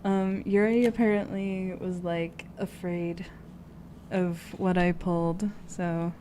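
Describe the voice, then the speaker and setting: A woman's voice: fairly low pitch, little pitch movement, slow, mellow, slight background noise. A woman streamer in casual, giggly, playfully profane chat as she hosts a tarot reading.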